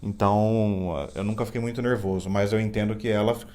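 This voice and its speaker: Brazilian man with a low, easy voice. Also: a little fast. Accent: Campinas Portuguese. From Brazil, Campinas. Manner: relaxed, hesitant, restarts often. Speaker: host's husband, guest. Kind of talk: casual chat on camera.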